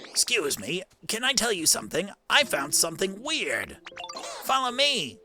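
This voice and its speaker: A man puts on a small, eager game creature, young and full of curiosity. The voice sits high and bright, and the manner is excitable and simple like a child's. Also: normal speed, faint music behind, exaggerated voice. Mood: excited. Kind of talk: video game character voicing.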